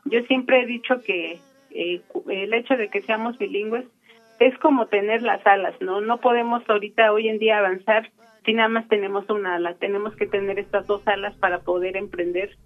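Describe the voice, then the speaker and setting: A woman from Michoacán, Mexico, whose first language is Purépecha, giving a reflective personal account in a thoughtful, unhurried way with frequent pauses. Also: mid-range voice, steady tone, dull audio. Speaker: interviewee.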